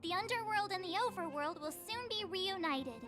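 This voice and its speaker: A woman's voice: very high-pitched, lively intonation, normal speed, fairly bright tone, faint music behind. A woman playing a perky, outspoken teenage girl adventurer in a video game, bubbly and casual. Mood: upbeat.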